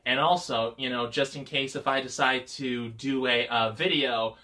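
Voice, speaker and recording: A man's voice: medium pitch, fairly even intonation, moderate pace, bright, forceful tone. A man in his twenties talking casually to camera as a vlogger, rambling with lots of filler words. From Michigan, US. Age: 20s. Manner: rambling, many "you know" fillers.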